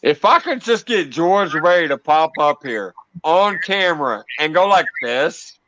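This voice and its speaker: A man's voice: a little high, animated, measured pace, bright, forceful tone. A man hosting a casual livestream, laughing and coaxing guests to join the call. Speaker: livestream host. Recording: dull, lo-fi stream audio.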